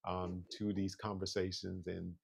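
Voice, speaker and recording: A man's voice: sits low, little pitch movement, unhurried, vocal fry, slightly rough. A man hosting a mindfulness talk show, upbeat and affirming as he greets listeners and introduces a guest. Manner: upbeat, affirming, frequent "mm-hmm". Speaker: host.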